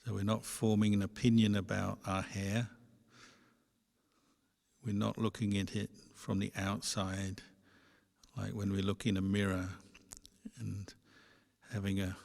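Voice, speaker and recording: A man guides a meditation as a monk would, in a low, very even voice. He speaks slowly and gently, leaving pauses between short phrases. The sound carries a long hall reverb. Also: creaky. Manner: measured, gentle instructing with pauses.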